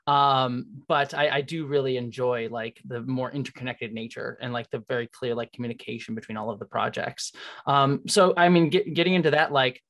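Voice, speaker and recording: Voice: medium pitch, steady tone, normal speed, a bit bright. An interview host chatting casually as an enthusiastic fan on a video call, effusive and rambling, often saying "like". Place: indoor room on a video call.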